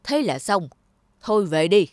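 A woman voicing a greedy, stingy old male landlord in a cartoon, gruff and bossy. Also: mid-range voice, sing-song, a little slow, no room sound. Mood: scolding. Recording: studio voice with loud music.

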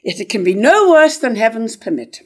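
A woman's voice: fairly high pitch, sing-song, a little fast. A woman playing a proud, wronged, defiant queen in a staged reading of verse tragedy, with stately delivery. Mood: indignant, defiant.

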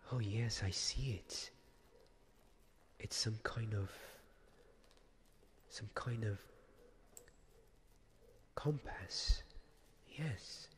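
A young man playing a devoted, weary knight in a soft-spoken ASMR roleplay, halting and often slipping into a whisper. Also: lowish voice, slight background noise. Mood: hushed, wondering. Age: young adult. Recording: long cavernous reverb, faint background ambience.

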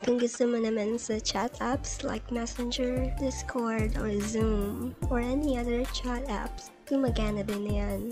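Slow-paced, high-voiced Filipino woman tutorial host. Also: fairly even intonation. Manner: instructional, often checking in with "okay?". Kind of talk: casual step-by-step tutorial narration. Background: music.

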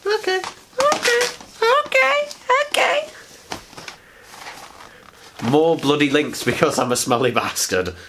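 A man's voice: fairly high pitch, wildly swooping pitch, slow pace, pauses often. A young man with a head cold, his voice stuffy and nasal as he rambles through a late-night unboxing. He sits close to the camera in a living room, chatty and self-mocking, and a faint hiss sits under the voice. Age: young adult. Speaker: vlogger. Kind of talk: casual unboxing chat. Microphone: camera on a tripod, sitting close.

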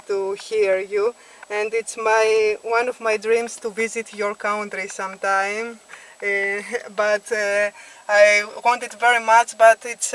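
A woman in her thirties with a Greek accent, talking as an amateur radio operator, halting as she searches for words. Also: mid-range voice, fairly bright tone, a little hiss or hum, rough recording. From Greece. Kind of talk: ham radio contact, conversational. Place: inside a car at night.

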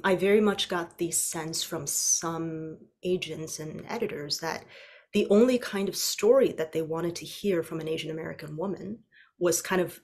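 A woman author on a panel, speaking thoughtfully and carefully over a video call from a home study. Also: lowish voice. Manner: thoughtful, hedging. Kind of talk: casual panel talk.

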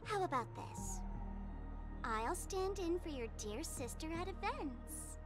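High, swooping mischievous young woman. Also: big pitch swings, slow, exaggerated voice. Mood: sly, playful. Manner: teasing, sly, theatrical. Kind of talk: video game voice acting. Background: game music.

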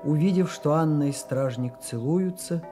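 A man's voice: on the high side, moderate pace, dark tone. A man narrating the stage action of a radio play in a scripted style. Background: music.

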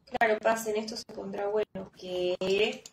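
A woman's voice: mid-range voice, fairly even intonation, unhurried, vocal fry, echoey room, a little hiss or hum. A young woman with a Peruvian Spanish accent, chatting casually with viewers and exclaiming at herself while she streams a game. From Peru. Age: young adult. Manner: chatty, reads chat aloud, exclaims at herself. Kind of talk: casual live-stream chat while gaming. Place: room indoors at a computer.

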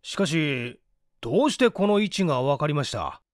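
Man acting haughty, indignant young gentleman. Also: fairly high pitch, very animated, moderate pace, no echo, studio quality. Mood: indignant, challenging. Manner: stiffly polite, challenging.

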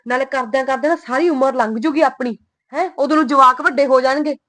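A woman's voice: high-pitched, fast talker. A woman playing a resentful young wife who nags her husband and schemes over family land and money. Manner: nagging, sharp-tongued. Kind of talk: Punjabi family drama acting.

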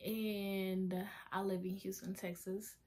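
Slow-talking teen girl with a Honduran accent. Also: medium pitch, little pitch movement, dark tone. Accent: Honduran Spanish. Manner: chatty, restarting and laughing at herself. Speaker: vlogger sharing testimony. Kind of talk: casual talking-head vlog. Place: small bedroom with a flag on the wall. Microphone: webcam in front of her face.

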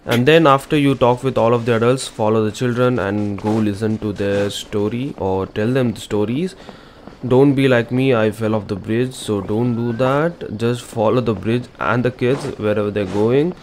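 A man's voice: medium pitch, normal speed, a little mellow, slight background noise. A man narrating a game walkthrough as an informal, instructional gaming channel host. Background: game music.

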